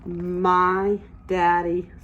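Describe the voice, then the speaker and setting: Slow-paced woman teaching young children. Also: fairly low pitch, monotone, fairly bright tone, muffled recording. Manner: encouraging, simple explanations with questions to the listeners. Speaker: early childhood teacher reading aloud. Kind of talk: interactive read-aloud lesson for young children.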